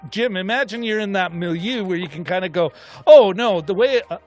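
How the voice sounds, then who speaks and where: High-pitched man, rambling and animated. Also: brisk, soft background music. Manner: rambling, animated, thinking aloud. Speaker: co-host of a film discussion show. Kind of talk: casual chat.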